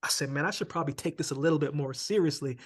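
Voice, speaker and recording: A relaxed man from Jamaica, in his thirties, speaks as a guest on an interview show. His voice sits a little higher than most men's, and he tells his story in a loose, easy way, often adding "man" and "right?". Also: racing, breathy edge. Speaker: interview guest, former sprinter.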